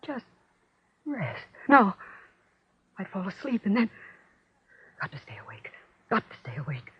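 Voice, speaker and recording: An actress playing a frightened, exhausted housewife in 1940s radio horror, with halting, repetitive, haunted delivery. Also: female voice, medium pitch, very animated, breathy edge, no room sound, exaggerated voice. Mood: weary dread. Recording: dull old radio drama recording.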